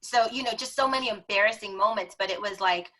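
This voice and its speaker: Middle-aged female guest, bright tense tone, expressive storyteller who acts out her reactions. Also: mid-range voice, fast, low-quality recording. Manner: expressive, chatty, dramatic retellings of her own reactions. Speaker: podcast guest. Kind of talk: casual personal storytelling.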